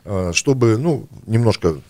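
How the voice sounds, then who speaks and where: A man's voice: on the low side, lively intonation, unhurried, dark tone. A man hosting a radio talk show, chatting casually with many hesitations and fillers. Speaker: radio host.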